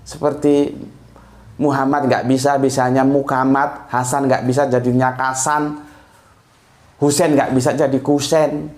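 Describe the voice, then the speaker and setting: A man's voice: mid-range voice, little pitch movement, a little slow, pauses often, darkish tone. A man giving a casual religious talk, explaining things in a digressive way, chuckling now and then and tagging his lines with little fillers. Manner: digressive, explanatory, tags lines with "ya" and "gitu loh". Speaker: Islamic speaker. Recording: roomy echo.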